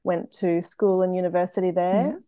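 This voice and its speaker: An Australian woman from Queensland, a vet, answering interview questions with many pauses and ums. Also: lowish voice, level tone, clean tone, no room sound. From Brisbane, Queensland. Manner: hesitant, many ums, laughs softly. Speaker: guest, veterinarian and runner. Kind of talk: casual interview chat.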